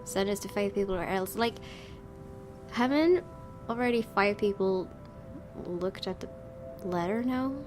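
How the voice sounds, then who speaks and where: A woman doing a casual let's play, reading a visual novel aloud and commenting in an expressive way. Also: mid-range voice, unhurried, pauses often. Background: game music with guitar.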